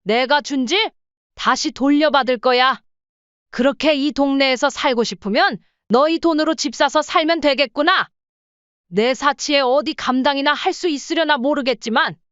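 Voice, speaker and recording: A woman acts out a proud, hardworking older mother-in-law in an audio story. Her voice is high and she scolds with firm, cutting authority. The sound is dry and slightly dull. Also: moderate pace, a bit bright, dry recording. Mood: cold resolve. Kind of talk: audio drama story acting.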